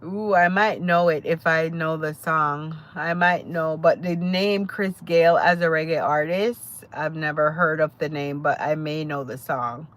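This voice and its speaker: A woman's voice: deep voice, fairly even intonation, measured pace, few pauses. A woman from Jamaica with a Jamaican accent, chatting casually with viewers on a live stream, greeting them by name and laughing often. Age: adult. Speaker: livestream host.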